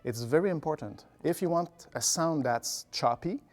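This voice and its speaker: A man's voice: fairly high pitch, normal speed. A man, an orchestra conductor, explaining things instructively with examples and analogies in interview answers. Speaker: orchestra conductor, artistic director.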